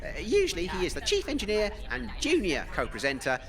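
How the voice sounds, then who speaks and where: A man's voice: high-pitched, wildly swooping pitch, brisk, creaky, a crowd in the background, exaggerated voice. A man playing a pompous, easily flustered British wasteland radio DJ in a comedy audio drama. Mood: boastful. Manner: pompous, hesitant when challenged. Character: self-important, flustered wasteland radio DJ telling boastful anecdotes.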